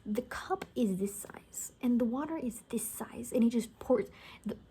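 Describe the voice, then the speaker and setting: A woman doing a soft-spoken ASMR roleplay as a sassy, gossipy apocalypse survivor, chatting casually and sarcastically and often dropping to a whisper. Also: fairly high pitch, measured pace, a little hiss or hum.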